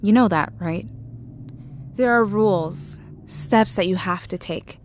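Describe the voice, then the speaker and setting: A woman acting in an audio drama as a kind, excitable shop owner with a secret, speaking expressively. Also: medium pitch, takes it slowly, a little hiss or hum, close to an everyday voice. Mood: instructive.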